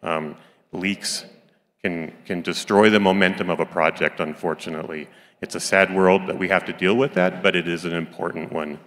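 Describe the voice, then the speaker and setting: A middle-aged man giving measured, serious business advice as a panelist into a handheld microphone. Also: deep voice, fairly even intonation, fairly bright tone. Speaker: panelist, game company executive. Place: conference stage. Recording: reverberant hall stage sound.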